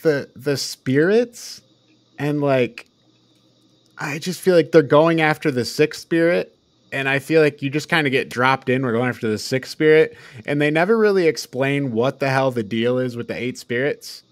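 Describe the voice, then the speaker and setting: A man's voice: mid-range voice, moderate pace, dry recording. A man co-hosting a podcast, giving casual, opinionated film critique in an exasperated, incredulous manner. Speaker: podcast co-host.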